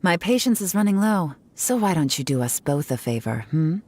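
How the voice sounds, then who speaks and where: A poised, confident investigator in a game, with a notably low voice. She speaks calmly and slyly, her threats quiet and controlled. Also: normal speed. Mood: menacing. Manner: calm, sly, quietly menacing. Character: cool, confident female investigator. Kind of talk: game voice acting.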